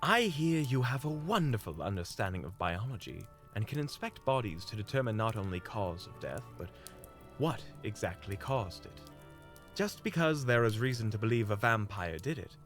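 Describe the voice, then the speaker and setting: A man's voice: on the low side, animated, normal speed, a bit bright, faint music behind. Man playing courteous, silver-tongued fantasy monster hunter. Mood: courteous, persuasive. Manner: formal, flowery politeness. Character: courteous, smooth-talking male monster hunter. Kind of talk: fantasy audio roleplay acting.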